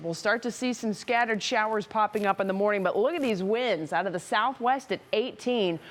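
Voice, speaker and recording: A woman working as a TV weather forecaster in a studio, talking in an emphatic, conversational way through forecasts and ad-libbed banter. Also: medium pitch.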